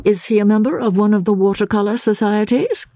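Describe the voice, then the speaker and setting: Woman playing a smooth, insistent gentleman. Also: mid-range voice, a little fast, no echo, studio quality, voice pushed up, close to an everyday voice. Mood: probing, polite. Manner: formal, elaborately polite, persuasive. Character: smooth, courteous, insistent English gentleman baronet. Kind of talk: dramatic audiobook reading.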